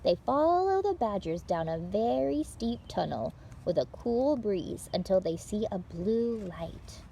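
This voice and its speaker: A woman hosting story time for young children, reading aloud in an animated, encouraging way with simple phrasing. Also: medium pitch, measured pace, vocal fry, slight background noise.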